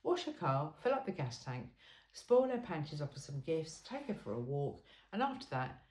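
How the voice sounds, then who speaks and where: A woman's voice: deep voice, sing-song, normal speed, darkish tone. A woman reading a self-help book aloud in an informal, blunt and emphatic way.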